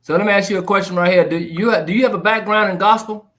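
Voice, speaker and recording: A man's voice: high voice, steady tone, fast talker, a bit bright. A man hosting a casual interview who keeps affirming his guest with short "yeah" and "mm-hmm" replies. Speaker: interview host.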